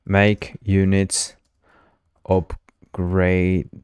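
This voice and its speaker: Low, smooth-voiced man with a Spanish first language. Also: monotone, drawn-out words, a little mellow, dry recording. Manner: chatty, thinks aloud, repeats himself, playful teasing of the chat. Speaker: game developer streaming live. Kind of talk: casual livestream coding chat.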